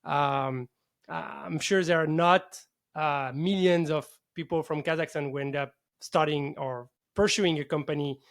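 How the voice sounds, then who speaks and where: A man's voice: on the high side, fairly even intonation, a little slow. A man hosting a show in a casual, enthusiastic interview style. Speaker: podcast host.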